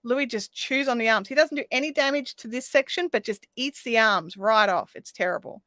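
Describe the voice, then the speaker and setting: A woman hosting a craft livestream, chatting casually and reading out viewer comments while she crochets, her voice slightly raspy. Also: fairly high pitch, a little fast, a bit bright, no room sound, clean studio sound. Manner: chatty, reads viewer comments aloud, counts stitches under her breath. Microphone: desk microphone.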